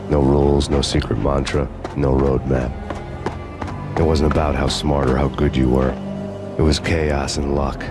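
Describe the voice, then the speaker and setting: An actor voicing a weary hardboiled cop who narrates in a grim, slow noir monologue over game music. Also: male voice, very deep voice.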